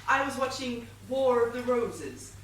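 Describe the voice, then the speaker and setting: A woman's voice: fairly high pitch, expressive, unhurried, fairly bright tone. An actress on stage playing a wealthy, scatterbrained middle-aged widow under suspicion, airy and theatrical in her evasive innocence. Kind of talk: stage comedy acting. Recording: echoey theatre, distant mic, faint noise.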